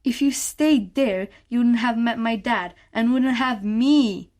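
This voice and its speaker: A woman's voice: fairly high pitch, takes it slowly, darkish tone. A female performer playing a curious, teasing young daughter, asking direct questions in a radio play. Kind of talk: short radio play dialogue.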